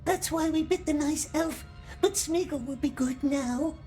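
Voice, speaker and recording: Wretched, whispering, hissing creature, wheedling, drawn-out delivery; fan audio drama. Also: female voice, high voice, warm tone, faint music behind. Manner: hissing whispers, talks of himself as we. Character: Gollum, wretched hissing creature, cringing and sly.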